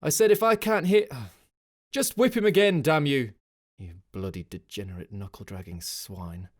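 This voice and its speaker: A man's voice: medium pitch, lively intonation, unhurried, voice pushed up. A man voicing a haughty, impatient male military officer in an audiobook, with a pompous, commanding, sarcastic manner. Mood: shouting, exasperated. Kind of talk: dramatic audiobook character voice.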